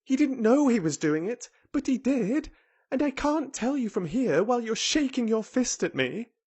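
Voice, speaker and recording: A man's voice: extremely high pitch, lively intonation, measured pace, pitched above the performer's own voice. A man voicing an obstinate, plucky young girl with a breathless, protesting manner, in a storybook reading. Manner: gasping, protesting.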